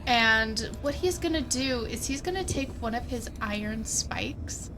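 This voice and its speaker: Slow-talking woman gamer with a highish voice. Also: lively intonation, sharp tone, a little hiss or hum. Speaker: player in a tabletop roleplaying game. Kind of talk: casual livestreamed game chat. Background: music.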